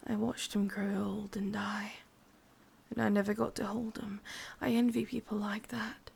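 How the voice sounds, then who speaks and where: A woman with an English accent voicing an ancient, lonely, lovesick witch in a breathy, husky whisper for an ASMR roleplay. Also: mid-range voice, flat delivery, a little mellow, heavily acted. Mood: wistful, envious. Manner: slow, brooding, intimate delivery.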